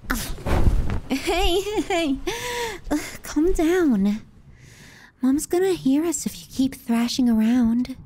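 A woman playing a teasing, possessive, sardonic younger sister in an intimate ASMR roleplay, speaking softly and breathily, often near a whisper, close to the mic. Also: high-pitched, lively intonation, takes it slowly, pauses often, slight background noise. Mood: exasperated.

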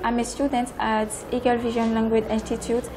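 A woman's voice: fairly high pitch, flat delivery, normal speed. A woman in her twenties with a Beninese accent, introducing herself as a language student in a classroom interview. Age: 20s. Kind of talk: self-introduction testimonial. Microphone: lapel. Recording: noisy classroom.

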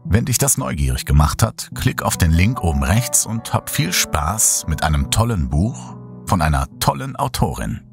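A man reading a horror story aloud in slow, hushed, dramatic narration. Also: low-pitched, very animated, gravelly, music in the background. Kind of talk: scripted horror audiobook narration.

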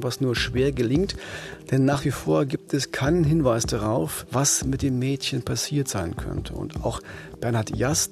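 A man's voice: medium pitch, creaky, a little mellow. A middle-aged man co-hosting a crime podcast, telling a case in a conversational way. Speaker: crime podcast co-host, reporter. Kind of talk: conversational true-crime storytelling.

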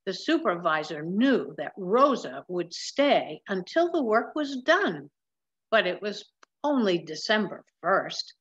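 An older woman reading a picture book aloud in a measured, explanatory manner, recorded on a webcam at home. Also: mid-range voice, big pitch swings, fairly bright tone. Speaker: storybook reader. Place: large wood-ceilinged room at home. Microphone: webcam or laptop mic at desk distance. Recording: slightly dull webcam sound.

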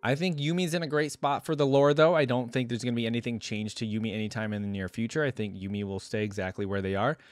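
A man's voice: mid-range voice, steady tone, fast, hardly any pauses, dry recording. A young adult man giving casual, chatty, enthusiastic commentary as a reaction host, rambling a little into a desk microphone. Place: small indoor room at a gaming desk. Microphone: desk microphone up close.

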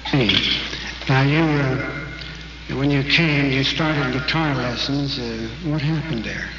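A man's voice: mid-range voice, takes it slowly. Man interviewing on stage in an echoey hall. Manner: friendly, prompting questions. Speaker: interviewer at a convention. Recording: dull, hissy recording in an echoey hall.

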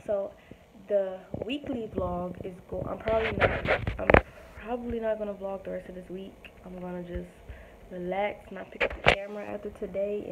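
A woman's voice: mid-range voice, a little hiss or hum, poor audio. A young woman vlogging casually to camera at home, rambling with many ums while losing her voice from a sore throat. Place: small home room indoors. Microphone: phone or webcam at arm's length.

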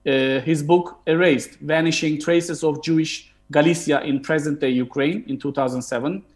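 A man in his thirties from Turkey with a Turkish accent, hosting an online book talk. He pauses often with fillers and restarts, speaking over a video call from a room at home. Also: fairly high pitch, steady tone. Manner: hesitant, frequent fillers and restarts. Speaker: historian and host.

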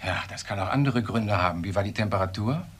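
An actor playing a composed, authoritative professor in a radio crime drama, speaking formally with measured pauses. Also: male voice, fairly low pitch, slightly rough. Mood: brisk, courteous. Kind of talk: naturalistic German radio crime drama acting.

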